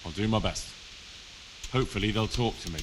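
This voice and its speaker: A man's voice: lowish voice, a little fast, background noise, natural voice. A young man playing a loyal, earnest servant of a medieval lord in a video game, polite and inquisitive. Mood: earnest, willing. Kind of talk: cinematic game dialogue.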